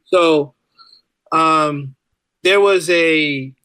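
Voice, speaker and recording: A man's voice: a little high, extremely slow, pushed and bright, clear, resonant tone, no room sound, low-quality recording. A man chatting casually as a podcast guest, talkative and informal, often repeating phrases for emphasis. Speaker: podcast guest, writer and musician. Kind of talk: casual conversational interview.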